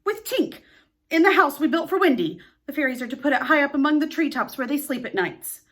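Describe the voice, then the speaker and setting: A woman's voice: sits high, lively intonation, a little fast, pitched above the performer's own voice. A woman voicing Peter Pan, a defiant eager boy. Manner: loud, bright, carefree. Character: boastful defiant boy who won't grow up.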